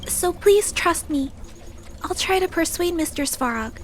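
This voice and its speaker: An actress voicing a gentle, polite and earnest little girl in a video game, with hesitant, careful speech. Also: extremely high pitch, animated, measured pace, slight background noise. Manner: polite, soft-spoken, hesitant, careful phrasing. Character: gentle, earnest, kind-hearted little girl, shy but resolute.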